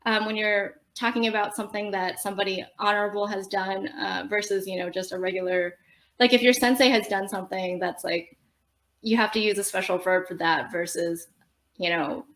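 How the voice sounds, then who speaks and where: A young woman talking as a podcast guest in a relaxed, explanatory way, with lots of fillers and quick laughs. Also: mid-range voice. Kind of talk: casual conversational interview. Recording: roomy echo.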